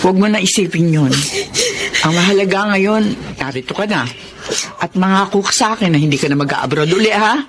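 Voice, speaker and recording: A woman's voice: on the low side, a little fast, lightly acted. An actress playing a worried, tender older mother of grown children in a Filipino radio drama. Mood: tender, relieved.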